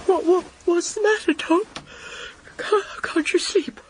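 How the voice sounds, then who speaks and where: An actress playing an anxious, gentle wife, hesitant and stammering with worry, in a radio drama. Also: female voice, very high voice, unhurried, background noise. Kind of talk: naturalistic radio drama acting.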